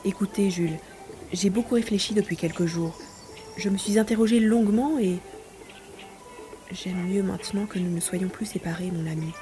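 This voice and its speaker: A woman's voice: mid-range voice, moderate pace, long pauses, warm tone, soft background music, close to an everyday voice. An actress playing a devoted, hopeful lady in love in a French audio drama, tender, formal and sentimental.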